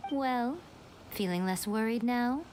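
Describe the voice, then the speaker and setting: A woman's voice: on the high side, slow pace, darkish tone, slight background noise. A woman playing a composed, confident and scheming mentor in a video game, with an assured, teasing manner. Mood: knowing.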